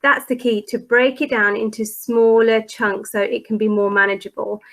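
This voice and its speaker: A woman's voice: on the high side, level tone, normal speed. A middle-aged woman presenting a parent workshop in a conversational, informal way, relatable and reassuring.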